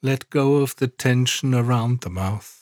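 A man guiding a scripted relaxation meditation in a calm, soothing, measured way, leaving long pauses. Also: medium pitch, no room sound, studio quality. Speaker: meditation guide, podcast host. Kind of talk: guided yoga nidra relaxation meditation, scripted narration.

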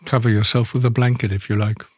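A man leading a guided yoga nidra meditation, giving soothing instructions slowly with long pauses. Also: mid-range voice, level tone, slightly rough, no room sound, studio quality.

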